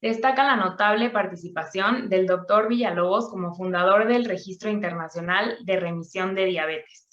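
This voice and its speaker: A woman's voice: medium pitch, normal speed, a bit bright. A woman reading a formal scripted introduction as a conference presenter. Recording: echoey room, dull muffled audio.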